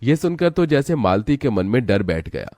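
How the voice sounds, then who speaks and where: A man's voice: mid-range voice, very animated, normal speed, darkish tone, slight background noise. A man narrating a scripted, dramatic story as a storyteller.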